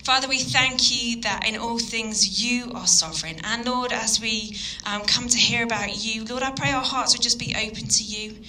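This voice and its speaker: A woman from Yorkshire with a Yorkshire accent giving an earnest evening sermon, full of ums and pauses. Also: a little high, sharp tone, a little hiss or hum, low-quality recording. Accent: Yorkshire English. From Yorkshire, England. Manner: earnest, hesitant with frequent ums. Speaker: guest speaker.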